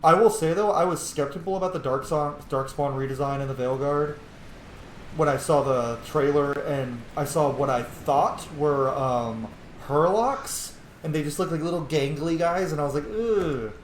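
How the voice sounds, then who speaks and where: A man's voice: a little high, moderate pace. Male gaming host, casual and opinionated, rambling and sweary, recorded in an echoey room with faint noise. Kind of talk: casual gameplay commentary. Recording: roomy echo, faint background noise.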